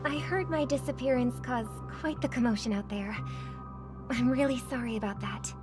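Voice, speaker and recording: An actress playing a kind, graceful young singer in a video game, speaking politely and with composed sincerity. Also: female voice, fairly high pitch, normal speed, close to an everyday voice. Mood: apologetic, grave. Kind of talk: video game cutscene acting. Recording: loud music under the voice.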